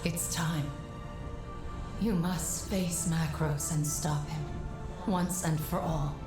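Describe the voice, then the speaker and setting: A woman playing an earnest, solemn mystic ally and guide in a video game, speaking in a measured way. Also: low voice, fairly even intonation, roomy sound, music in the background. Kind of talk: dramatic video game acting.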